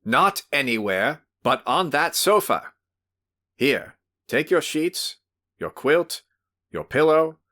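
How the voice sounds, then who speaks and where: A man voicing a stern, angry gentleman who shouts orders in a storybook reading. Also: lowish voice, lively intonation, unhurried. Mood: commanding. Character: angry, commanding middle-aged gentleman.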